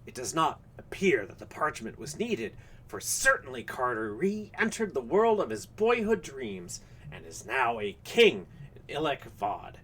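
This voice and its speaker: A harsh old man's voice, pitched up shrill by the man who acts him out, tells of dreams. He speaks in solemn, ornate storybook phrases. He is an earnest, otherworldly old mystic. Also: sing-song, measured pace, fairly bright tone, breathy edge, full character voice. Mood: earnest, dreamy.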